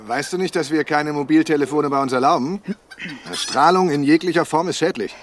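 An actor playing a stern, paternal man of a religious commune in a radio drama, speaking in an admonishing, deliberate manner. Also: on the high side, slight background noise, close to an everyday voice. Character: stern, pious commune brother, guarded and paternal. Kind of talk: German crime radio drama acting.

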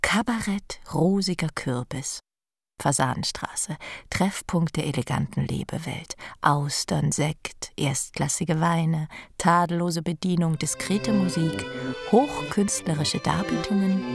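An actress playing a sultry cabaret announcer, deliberately listing the attractions in a radio play. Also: female voice, low voice, vocal fry, faint music behind. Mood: alluring announcement. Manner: deliberate, item-by-item delivery. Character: sultry cabaret announcer advertising an elegant nightspot.

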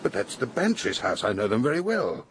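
Actor as imperious older English gentleman. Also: medium pitch, very animated, a little fast, slight background noise, close to an everyday voice. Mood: troubled, insistent. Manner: assertive, blunt, formal. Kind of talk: radio drama acting.

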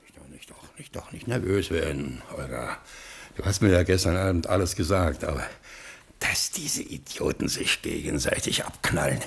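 An actor playing a gangster boss turned solemn and repentant in a German radio play, speaking gravely and declaiming. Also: male voice, very deep voice, expressive, normal speed, exaggerated voice. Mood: soothing.

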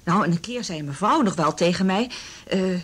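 A woman's voice: lowish voice, expressive, fairly quick, slightly rough. An actress playing a middle-aged village mother in a radio drama, gossipy and confiding, with a hesitant, rambling way of talking. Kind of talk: naturalistic radio drama dialogue.

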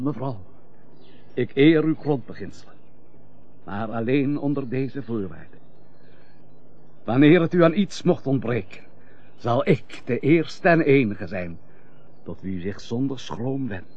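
Slow, grave, wounded gentleman. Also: medium pitch, husky. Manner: grave, formal, measured. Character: wounded, noble, melancholy gentleman, betrayed husband. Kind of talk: old-fashioned melodramatic radio drama acting. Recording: muffled old radio recording, faint hiss.

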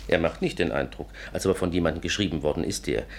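An actor playing an anxious, courteous inventor in a 1950s German radio play, speaking formally and hesitantly in a husky, breathy voice. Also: male voice, low voice, fast talker, a little hiss or hum. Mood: anxious, grave. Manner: formal, hesitant, repeats a pet phrase.

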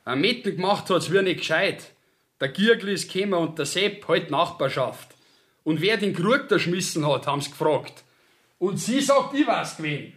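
An actor playing a rough, indignant young peasant in a Bavarian dialect radio play, telling his tale in an earthy, agitated way. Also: sits high, lively intonation, moderate pace, fairly bright tone. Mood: aggrieved.

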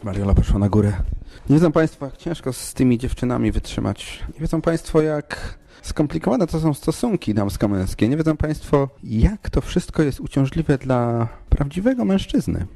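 An actor playing a fussy, exasperated and self-important lover in a comic podcast radio play, halting and rambling as he talks. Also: mid-range voice, very animated, creaky, dark tone. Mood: weary, pompous. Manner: halting, rambling, pompously philosophizing.

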